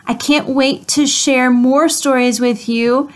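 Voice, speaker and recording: A woman in her thirties reads a picture book aloud to small children, speaking slowly with a high voice. She is close to a webcam in a small room at home. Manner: gentle and expressive, slow delivery. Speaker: preschool teacher reading aloud. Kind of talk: children's storytime reading. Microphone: webcam at close range.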